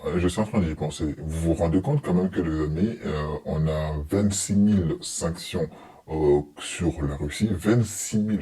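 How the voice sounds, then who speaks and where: Male reaction commentator: deep, mellow voice, colloquial and blunt, exasperated and dismissive delivery. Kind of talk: informal opinionated reaction commentary.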